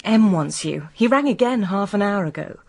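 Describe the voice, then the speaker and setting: An actress in a radio drama playing an exasperated secretary, brisk, scolding and fussing with care. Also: female voice, medium pitch, lively intonation, darkish tone. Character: exasperated, bossy, caring female secretary.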